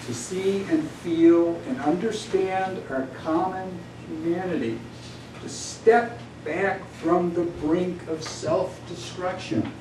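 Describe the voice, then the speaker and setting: A man's voice: high-pitched, slow pace. A man reciting his own epic poetry in a solemn, grandiose, declamatory manner, as a poet at a live reading. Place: indoor venue at a live reading. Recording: echoey room from far off, background noise.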